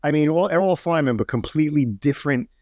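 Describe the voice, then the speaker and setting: A man hosting a podcast who asks questions in a relaxed, casual way, cursing now and then. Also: a little high, fast talker, no echo. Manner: relaxed, curses casually, frequent "yeah" backchannel. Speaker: podcast host. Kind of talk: casual interview chat.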